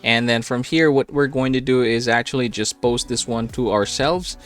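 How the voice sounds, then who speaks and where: A man's voice: medium pitch, moderate pace, fairly bright tone, smooth. Casual male tutorial host over faint music. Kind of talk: step-by-step app tutorial narration.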